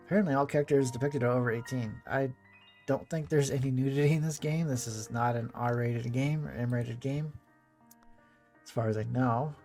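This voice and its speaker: A man's voice: mid-range voice, steady tone, moderate pace, darkish tone, faint music behind. A man giving casual let's play commentary as host, rambling along in a laid-back, hesitant way. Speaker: gaming video host.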